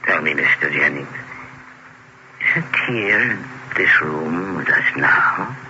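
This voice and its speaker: An actor playing a serious, probing doctor with a measured, formal manner in an old-time radio horror drama. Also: male voice, a bass voice, animated, pushed and bright, slight background noise, exaggerated voice. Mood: probing, calm. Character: grave, probing doctor hearing a troubled patient's tale. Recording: dull old radio recording.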